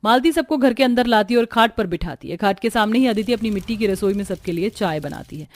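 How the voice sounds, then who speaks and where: A woman's voice: mid-range voice, animated, fast talker, a little mellow, dry recording. A woman narrator reading scripted narration for a cartoon family story.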